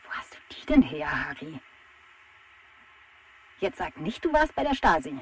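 A woman's voice: deep voice, big pitch swings, measured pace, breathy edge, exaggerated voice. A woman playing a cheeky, chatty salon owner and willing ally, speaking over two-way radio in a German children's drama. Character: lively, game female salon-owner ally. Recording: noisy radio link.

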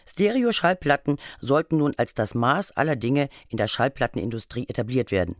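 Male radio doc narrator, formal and factual, mid pitch, dry studio sound. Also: dry recording. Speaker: feature narrator. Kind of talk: scripted radio documentary narration.

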